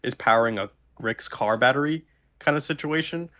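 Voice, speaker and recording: A man's voice: medium pitch, a little fast, bright, forceful tone. Man with a mid-range voice, casual podcast banter. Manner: rambling, profane, slangy. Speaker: podcast co-host. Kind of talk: casual group podcast chat.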